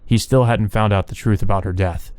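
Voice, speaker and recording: A man with a lowish voice narrates a visual novel. He reads the story text aloud as a let's player. The sound is dry, without room echo. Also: steady tone, fairly quick, darkish tone.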